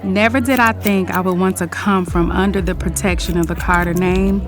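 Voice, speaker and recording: A woman's voice: lowish voice, fairly even intonation, normal speed, natural voice. A woman playing a withdrawn, weary woman reflecting on her life in an audio drama monologue. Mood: downcast, reflective. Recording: music playing behind the voice.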